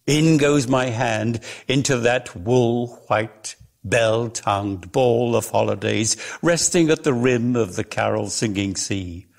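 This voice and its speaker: A man giving a dramatic reading of a literary story in a measured, theatrical way, lingering on his words. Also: mid-range voice, creaky. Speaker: reader.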